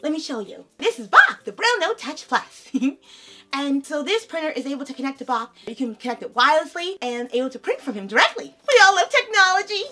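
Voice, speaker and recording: Bubbly, giggly young woman with slightly raspy voice. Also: sits high, big pitch swings, a little fast, pushed and bright. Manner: bubbly, giggly, calls viewers "lovelies". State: sore throat, slightly raspy. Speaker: vlogger. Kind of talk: casual unboxing vlog.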